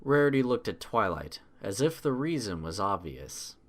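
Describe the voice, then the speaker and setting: A man's voice: lowish voice, very animated, moderate pace. A man reading scripted story narration as an audiobook narrator.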